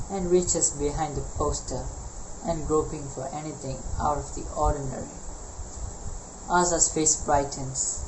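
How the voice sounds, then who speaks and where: Very deep-voiced woman narrating. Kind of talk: scripted narration. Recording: loud noise, insect-like night ambience.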